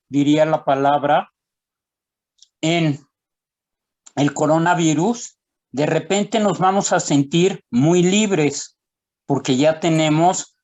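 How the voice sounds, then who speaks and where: A man hosting a panel discussion who speaks deliberately and poses questions to his guests. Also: a little high, darkish tone.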